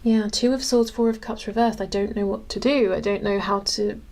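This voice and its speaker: A woman's voice: mid-range voice, fairly even intonation, fairly quick, darkish tone. A woman giving a casual tarot reading, thinking aloud in a conversational way. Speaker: tarot reader.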